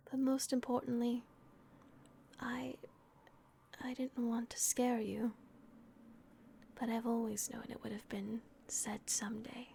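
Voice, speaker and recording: A woman voicing a gentle, protective female vampire caretaker in a soft, hesitant whisper on a close microphone. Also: fairly high pitch, vocal fry, a little hiss or hum. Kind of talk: whispered ASMR roleplay.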